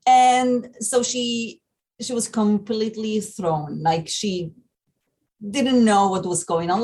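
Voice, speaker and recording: Guest: Argentine-accented woman, slow, hesitant delivery with fillers, lowish voice. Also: darkish tone. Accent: Argentine Spanish. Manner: hesitant, many fillers and restarts. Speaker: festival programming manager. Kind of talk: interview, casual explanation.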